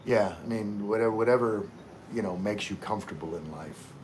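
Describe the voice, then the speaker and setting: Man, from Louisiana, very low voice, rambling livestream chat, lots of fillers, faint hum behind. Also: a little fast. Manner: relaxed, rambling, many fillers and restarts. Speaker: livestream host reading chat. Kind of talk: casual live chat.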